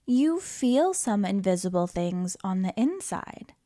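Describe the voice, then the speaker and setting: A woman reading a children's book aloud as a storytime host, in an expressive, playful manner with questions to the listener and dramatic pauses. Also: medium pitch. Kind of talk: animated children's read-aloud.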